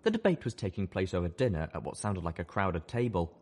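A man's voice: low voice, very animated, a little fast, a little mellow, husky. A man giving dramatic scripted narration of a story as an audiobook narrator.

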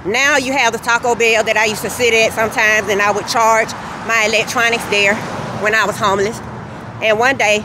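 A woman narrating a walking vlog on city streets, filming on her phone, telling her story in an earnest, steady way. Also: fairly high pitch, brisk. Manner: earnest, steady, recounting grievances. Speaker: vlogger. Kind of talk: walking tour vlog, personal account. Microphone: phone camera held while walking. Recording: faint street noise outdoors.

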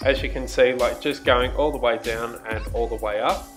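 A man's voice: mid-range voice, steady tone, moderate pace, soft background music. A man in his twenties with an Australian accent, chatting casually as a fitness vlogger. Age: 20s. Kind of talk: casual vlog chat and workout commentary.

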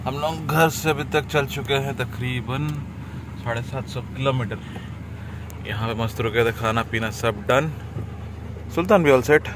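Chatty road-tripping man, loud road noise. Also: mid-range voice, unhurried. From India. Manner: chatty, mixes English phrases into Hindi. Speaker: vlogger. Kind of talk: casual travel vlog narration. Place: inside a car and by a highway outdoors. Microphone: action camera at arm's length. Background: car and road noise.

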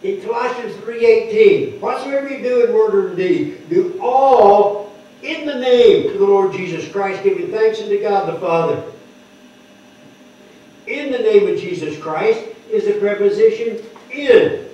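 An older man preaching at a lectern, explaining scripture word by word and stressing key words loudly. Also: sits high, expressive, unhurried, distant mic. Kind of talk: sermon teaching scripture. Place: wood-paneled church hall, speaking at a lectern. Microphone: microphone on the lectern, heard from a distant camera. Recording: echoey hall heard from afar, dull.

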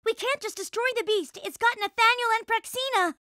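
A woman playing a perky, naive and excitable teenage magical-girl princess in an animated series. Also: very high-pitched, brisk, no echo, studio quality. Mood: urgent.

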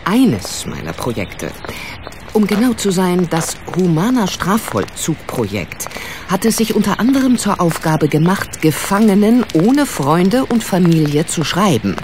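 A woman's voice: fairly low pitch, sing-song, measured pace, background noise. An actress playing a patient, teasing older lady in an audio drama, well-spoken and playfully ironic. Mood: patient, explaining. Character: patient, teasing widowed lady, charitable friend of sleuth. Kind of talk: German audio drama acting.

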